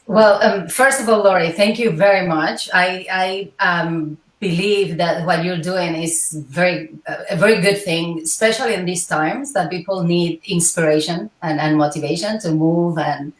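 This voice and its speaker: A woman's voice: on the low side, moderate pace, few pauses. A Cuban-born woman in her forties, a scientist and healer, speaking thoughtfully in an interview with many hesitations. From Cuba. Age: 40s. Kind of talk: interview conversation. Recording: echoey room, far from mic.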